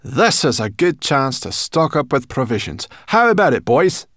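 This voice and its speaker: A man's voice: medium pitch, sing-song, normal speed, a bit bright, dry recording, clean studio sound, pitched above the performer's own voice. A man voicing a feisty, blunt, food-loving female brownie creature for a children's storybook. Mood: impatient, brisk. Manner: blunt, complaining, bossy.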